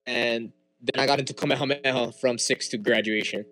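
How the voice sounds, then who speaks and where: Hawaiian man in relaxed chat. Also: medium pitch, brisk, faint music behind, low-quality recording. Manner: relaxed, frequent fillers and restarts. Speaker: guest. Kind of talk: casual interview chat.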